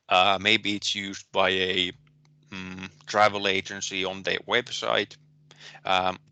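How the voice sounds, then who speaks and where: A man with a Finnish accent giving a recorded programming lecture, pausing often with ums and restarting his sentences. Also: lowish voice, sharp tone, dry recording. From Finland. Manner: hesitant, many ums and restarts. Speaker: university lecturer.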